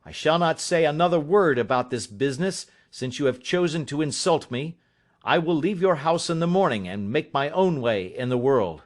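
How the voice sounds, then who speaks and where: A man's voice: on the high side, expressive, moderate pace, close to an everyday voice. A man reading aloud, voicing an accused young man who answers with raised, strained indignation. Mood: defiant. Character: angry defiant young man, accused son.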